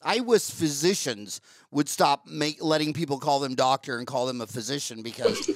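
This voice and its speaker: An older man hosting an interview podcast on a headset mic, talking in an animated, ranting way and repeating himself. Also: mid-range voice, moderate pace, dry recording, studio quality. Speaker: podcast host. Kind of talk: casual interview chat. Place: home studio with wood-panel wall.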